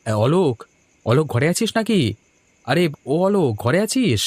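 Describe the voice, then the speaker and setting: A man playing a worried, indignant village man in an animated horror story, exclaiming anxiously. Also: fairly high pitch, normal speed. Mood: startled.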